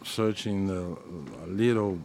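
A man with a Brazilian accent, a musician answering interview questions in halting English with many restarts, his first language being Portuguese. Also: lowish voice, steady tone, mellow, slight background noise. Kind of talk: casual interview.

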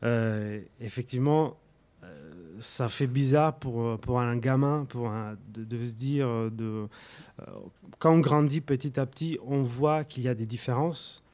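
A young man with an Italian accent answers interview questions as a musician guest. He talks haltingly, stopping often with fillers and restarting his phrases, in a voice of medium pitch. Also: darkish tone. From Italy.

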